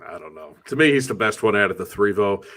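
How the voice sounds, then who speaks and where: A man's voice: lowish voice, lively intonation, fast talker, a bit bright. A man hosting a casual sports talk show, steering the banter and adding his own opinions.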